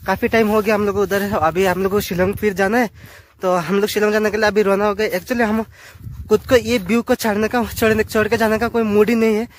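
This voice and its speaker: A young man in his twenties vlogging on a phone on a selfie stick, chatting excitedly to viewers on windy hilltops and a swaying bridge. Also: high-pitched, fairly quick. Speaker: travel vlogger. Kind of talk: casual travel vlog narration. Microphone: phone camera on a selfie stick. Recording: clear wind noise outdoors.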